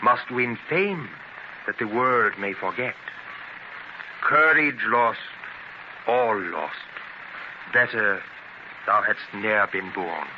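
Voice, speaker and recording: An actor playing an ambitious, intense immigrant newspaper publisher in earnest, declamatory 1930s radio drama style. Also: male voice, on the low side, slow, pauses often. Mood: solemn. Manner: earnest, declamatory, theatrical delivery. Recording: noisy, dull 1930s radio transcription.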